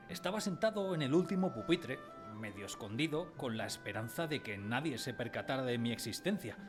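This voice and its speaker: A man playing a sensitive grown man who recalls his timid boyhood, in expressive, dramatised first-person storytelling. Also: medium pitch, natural voice. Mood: wistful, ashamed. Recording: prominent music behind the voice.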